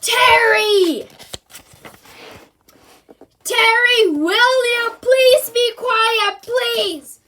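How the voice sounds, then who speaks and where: A woman doing a grumpy, yelling boy named Andy. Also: very high voice, fairly quick, hardly any pauses, voice pushed up. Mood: exasperated. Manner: exasperated yelling. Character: grumpy sleepy boy wanting quiet.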